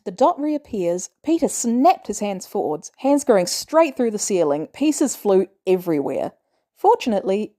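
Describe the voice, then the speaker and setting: A woman's voice: medium pitch, mellow. A woman reading scripted fan fiction aloud as a narrator. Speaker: podfic narrator.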